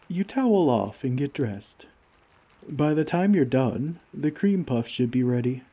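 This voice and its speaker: A man's voice: medium pitch, dark tone, slightly rough, full character voice. A man playing a kindly giant in an ASMR comfort roleplay, speaking formally and tenderly to a tiny friend. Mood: doting. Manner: formal, courteous, tender, old-fashioned wording. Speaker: roleplay audio performer.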